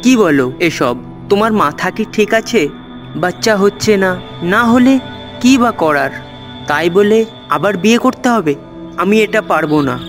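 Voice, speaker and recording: A man's voice: high-pitched, animated, a little slow, pauses often. A man voicing a dutiful young village son in a cartoon story, with music behind him. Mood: indignant.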